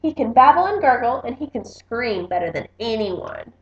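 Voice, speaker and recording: A woman's voice: fairly high pitch, big pitch swings, moderate pace, reverberant, lo-fi sound. A woman voicing a bossy, jealous little girl mouse from a storybook, dramatic and imperious. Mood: defiant, proud.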